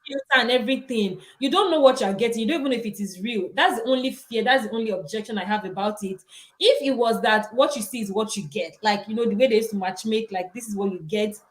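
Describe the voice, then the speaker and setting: A woman's voice: fairly high pitch, fast, low-quality recording. A woman co-hosting a podcast, opinionated and conversational, often saying "you know". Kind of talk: casual podcast chat.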